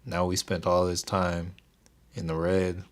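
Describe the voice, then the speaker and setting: Deep, soothing young man. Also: a little slow. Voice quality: soothing, even voice. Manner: laid-back, keeps delivery even, reads chat aloud. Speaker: stock trading livestream host. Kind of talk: casual livestream chat with viewers.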